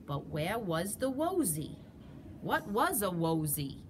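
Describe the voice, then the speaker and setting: A woman teacher reading a picture book aloud, telling it slowly and expressively. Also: medium pitch, noisy background. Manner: slow, expressive storytelling.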